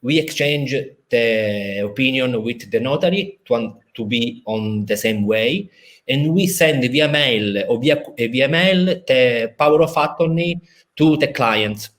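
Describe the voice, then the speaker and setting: A man with an Italian accent, a lawyer explaining a legal procedure over a video call, halting with many fillers and restarts. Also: medium pitch, fairly bright tone. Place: in a city in Italy, at home or office.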